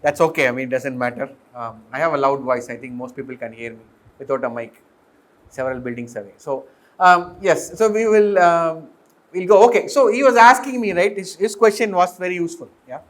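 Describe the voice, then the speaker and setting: A man's voice: a little high, fairly quick. A middle-aged man with an Indian accent giving an informal technical lecture as a professor, explaining step by step and posing questions to the class. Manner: explanatory, often posing questions and checking understanding. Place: indoor lecture room with green chalkboard. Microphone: fixed or worn mic, voice drifting as he turns.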